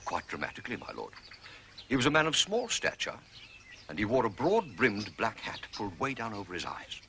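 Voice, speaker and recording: A deferential manservant in a period drama. His voice is fairly low yet bright, with very lively intonation over faint background noise. He is formal and precise, with dry theatrical relish. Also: fairly quick, vocal fry, heavily acted. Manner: formal, methodical, dryly theatrical. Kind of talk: British television period drama acting.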